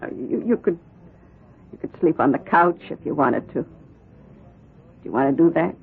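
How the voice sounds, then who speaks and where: Middle-aged woman, anxious and soft-hearted, halting husky voice, vintage radio melodrama with tinny, narrow audio. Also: low voice, expressive, exaggerated voice. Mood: tender, hesitant. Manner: hesitant, halting delivery. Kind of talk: 1970s radio drama acting. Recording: tinny, band-limited old radio broadcast.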